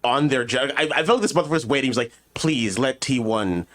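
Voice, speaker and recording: A man's voice: mid-range voice, a little fast, a bit bright. Rambling man telling a casual comedic story. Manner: rambling, profane, often quoting others in mock dialogue. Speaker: podcast co-host.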